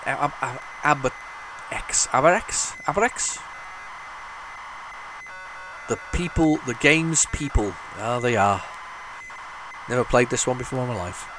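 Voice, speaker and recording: A man with a head cold hosts a casual retro gaming video, his voice sitting at an ordinary middle pitch. He rambles and restarts his sentences often, now and then breaking into excited bursts. A faint hiss lingers under the recording. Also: unhurried. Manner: rambling, chatty, lots of restarts and sudden excited outbursts. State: has a head cold, sniffly. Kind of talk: casual commentary while loading old tapes.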